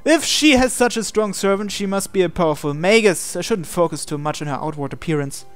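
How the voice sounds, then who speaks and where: A man's voice: high voice, lively intonation, fairly quick, smooth. A man hosting a game video, reading visual novel text aloud and adding his own asides. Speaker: gaming video host.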